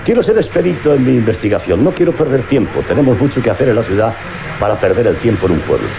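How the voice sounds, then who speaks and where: Animated, brisk police inspector. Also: male voice, mid-range voice, creaky, noisy background. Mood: brisk, businesslike. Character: brisk, determined male big-city police inspector. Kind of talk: dramatic radio play acting. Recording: dull lo-fi old radio recording.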